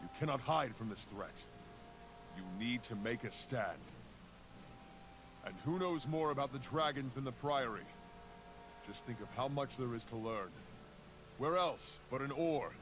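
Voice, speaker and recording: A man's voice: mid-range voice, animated, unhurried, long pauses, close to an everyday voice. An actor playing a resolute male hero in a video game, speaking steadily and persuasively. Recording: game audio with background noise.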